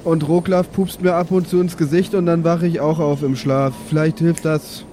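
Higher-voiced man role-playing at a tabletop. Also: level tone, moderate pace, mellow, a little hiss or hum. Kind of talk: improvised in-character role-play.

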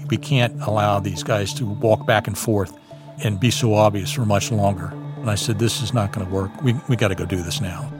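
A man's voice: fairly low pitch, steady tone, a little fast. A man, a former embassy security agent, telling events in a direct, vivid first-person way. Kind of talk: recounting events in an interview. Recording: clear music bed underneath.

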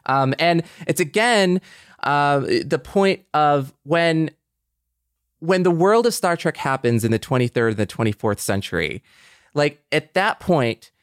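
A man's voice: a little high, lively intonation, measured pace, clear, resonant tone, no echo, clean studio sound. A man co-hosting a podcast, introducing and discussing its subject in a conversational way. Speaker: podcast co-host.

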